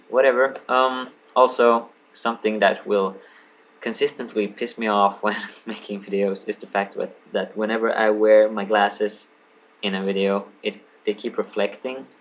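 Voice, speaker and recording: Gravelly-voiced teen guy rambling to camera. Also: lowish voice, fairly bright tone, slight background noise, rough recording. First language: Swedish. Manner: meandering, lots of ums and asides, apologetic. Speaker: vlogger. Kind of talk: rambling casual vlog. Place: home room lit by a lamp.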